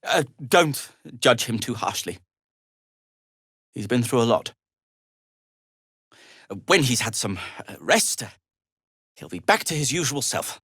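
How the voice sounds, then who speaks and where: Video game gnome tinkerer, middle-aged: fussy, wryly formal, hesitant stammer, unhurried pace, very animated intonation, dry close recording. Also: male voice, medium pitch, slightly rough, no echo, heavily acted. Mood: awkward, deferential. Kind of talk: video game character acting.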